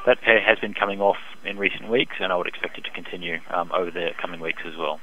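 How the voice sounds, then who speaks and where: A man speaking as an expert economist in a phone interview, giving measured, hesitant, technical market analysis. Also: low-pitched, level tone, bright, forceful tone, slight background noise. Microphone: telephone. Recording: dull band-limited phone line.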